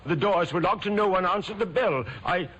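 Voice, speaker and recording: Brief: anxious bereaved brother, high halting voice, agitated, old radio crime drama. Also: male voice, fairly bright tone, slight background noise, rough recording. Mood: shaken, anxious. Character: distraught, worried middle-aged brother of murder victim.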